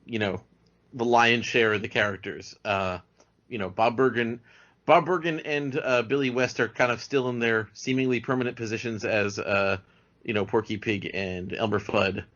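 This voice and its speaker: A man's voice: mid-range voice, fairly even intonation, moderate pace, fairly bright tone. Man podcast guest, dull lo-fi sound. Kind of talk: casual, knowledgeable fan chat. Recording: dull lo-fi voice recording.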